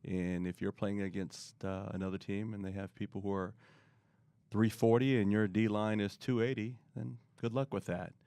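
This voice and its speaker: A middle-aged man answering interview questions in a relaxed, rambling way, often pausing with fillers. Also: on the low side, clear, resonant tone, no echo. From born in Korea, raised in the US. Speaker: guest, marketing expert.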